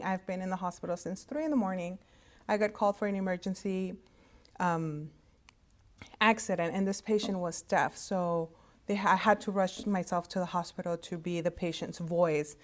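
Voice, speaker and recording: Low-voiced Spanish-native woman, chatty. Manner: conversational, halting with frequent fillers and restarts. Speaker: hospital interpreter services director. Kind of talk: casual career talk to students. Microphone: video call setup.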